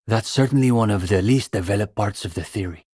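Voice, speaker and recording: A man's voice: fairly low pitch, brisk, mellow, husky, no echo, studio quality. An actor playing an earnest, condescending young male student intellectual in a video game, speaking in an articulate, formal and wry manner. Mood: eager, intellectual. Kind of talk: scripted video game character dialogue.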